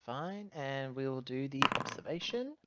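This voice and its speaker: A man's voice: medium pitch, lively intonation, measured pace, heavy background noise. A man chatting casually as a player in a tabletop roleplaying game. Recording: slightly dull, dry close recording.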